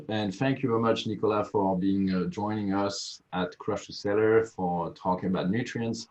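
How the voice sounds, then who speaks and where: Deep-voiced man hosting a video webinar. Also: darkish tone. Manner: halting, many filler pauses. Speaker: webinar host. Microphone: video call. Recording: muffled video call audio.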